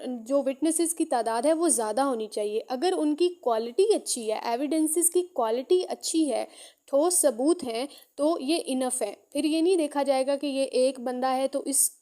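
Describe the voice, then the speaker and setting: A Pakistani woman with a clearly high, soft-edged voice teaches law. She reads out formal passages, then explains them step by step, going back over the key points. Her tone is on the mellow side. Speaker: law lecturer. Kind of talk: lecture, reading out and explaining statutes.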